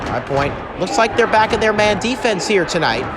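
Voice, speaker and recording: Male basketball play-by-play announcer, high and animated, excitable delivery, very noisy live arena behind. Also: normal speed. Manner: excitable, calling out plays. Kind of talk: live basketball radio commentary. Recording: very loud arena crowd noise. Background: basketball game crowd and bouncing ball.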